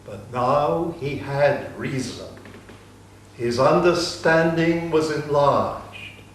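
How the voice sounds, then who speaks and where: A man tells an ancient tale in a slow, measured storyteller's voice. The mic sits at a distance in a very echoey room, with a faint hiss behind him. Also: on the high side, lively intonation. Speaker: narrator. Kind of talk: dramatic reading of an epic.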